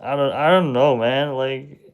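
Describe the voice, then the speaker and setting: A young man whose first language is Czech talks slowly on a livestream, answering chat at an unhurried drag. He hesitates, mumbles and trails off with shrugging replies, his voice at an ordinary middle pitch. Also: clear, resonant tone. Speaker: livestream host reading chat. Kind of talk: slow casual livestream chat.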